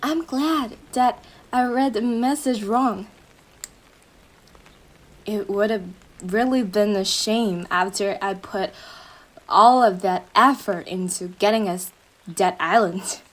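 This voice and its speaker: A woman's voice: medium pitch, slow pace, long pauses. A woman playing an obsessive, possessive girlfriend in a roleplay audio drama, cooing endearments to the listener with a sweet but menacing manner. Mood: falsely comforting.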